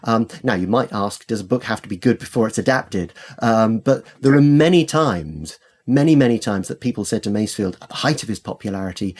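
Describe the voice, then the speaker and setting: A man's voice: fairly low pitch, a little mellow. A man speaking as an expert interview guest, explaining things enthusiastically with hesitations and emphatic repetitions. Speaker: literary expert guest, author and editor. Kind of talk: conversational interview.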